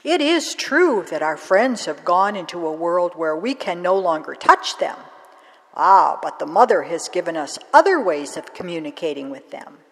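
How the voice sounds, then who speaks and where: A woman voicing a bent little old crone who speaks gently and murmurs kindly. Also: on the low side, animated, moderate pace, big-room sound. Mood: gentle, wise.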